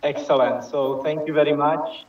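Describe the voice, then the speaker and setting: A man from the Czech Republic with a Czech accent, giving a formal conference presentation over a video call in a measured way, with frequent hesitations. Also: fairly high pitch, fairly even intonation, background noise. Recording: dull muffled video-call audio.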